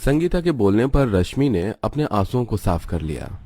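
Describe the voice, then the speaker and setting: A man's voice: on the low side, sing-song, normal speed, dark tone. A man reading scripted narration for a cartoon family story.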